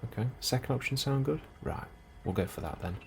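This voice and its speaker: A man in a soft, hushed ASMR role-play, speaking calmly and unhurriedly as a helpful colony immigration officer, close to the microphone. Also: mid-range voice. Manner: hushed, unhurried, sometimes dropping into whisper. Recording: clearly audible background ambience noise.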